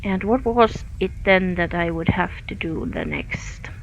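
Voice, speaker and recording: A Finnish-accented woman streams a game and comments as she plays, halting often with fillers. She speaks slowly. The audio is dull and lo-fi, with a faint hiss underneath. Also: on the low side, huge pitch swings. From Finland. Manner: hesitant, many fillers, thinking aloud. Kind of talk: live gameplay commentary.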